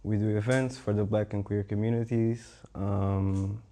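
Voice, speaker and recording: A young man from Portugal, a visual artist speaking as a panel guest in a relaxed, rambling way with many fillers. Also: lowish voice, measured pace. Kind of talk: casual conversational talk.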